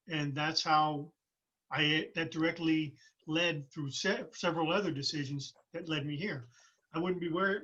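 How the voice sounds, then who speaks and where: Husky, bright-voiced man in his fifties. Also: fairly high pitch, lo-fi sound. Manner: reflective, halting with restarts. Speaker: podcast guest, military retiree. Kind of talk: casual conversation.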